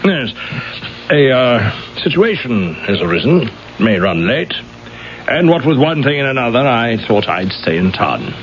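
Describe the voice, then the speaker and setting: An actor playing a suave, philandering, sardonic husband in a 1970s radio drama, urbane and smug. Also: male voice, mid-range voice, very animated, measured pace. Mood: dryly insinuating. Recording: dull old radio recording, faint noise.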